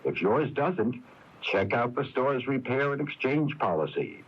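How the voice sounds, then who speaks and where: A man's voice: mid-range voice, lively intonation, unhurried, breathy edge. A man reading a scripted public service announcement for radio in an emphatic, advice-giving manner. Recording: dull lo-fi AM radio tape.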